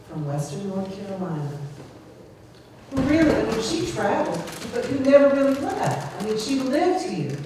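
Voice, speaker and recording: A woman emceeing a memorial program, speaking thoughtfully and pausing with many "um"s. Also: medium pitch. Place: indoor gathering hall. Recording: echoey hall from far off, faint noise.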